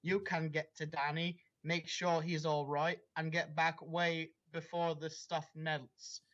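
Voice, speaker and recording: A man's voice: fairly high pitch, little pitch movement, slow pace, fairly bright tone. A man reading fan fiction aloud and breaking off into casual, chatty asides. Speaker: story reader. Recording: dull lo-fi recording.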